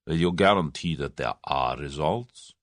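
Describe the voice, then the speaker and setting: Man doing a curt low-voiced plotter, short and pointed, dry sound. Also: a little slow, no room sound. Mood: terse. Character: curt Russian conspirator man.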